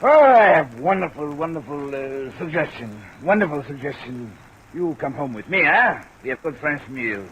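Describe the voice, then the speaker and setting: An actor playing an excitable, effusive French workingman with a French accent and broken English in an old radio drama. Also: on the high side, moderate pace, low-quality recording. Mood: expansive, cheerful. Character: excitable, expansive French-Canadian or French working man. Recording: old radio recording with faint hiss.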